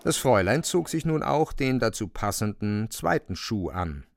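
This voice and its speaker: A man's voice: lowish voice, big pitch swings, normal speed, gravelly. A man narrating a radio drama in a scripted, theatrical storytelling style.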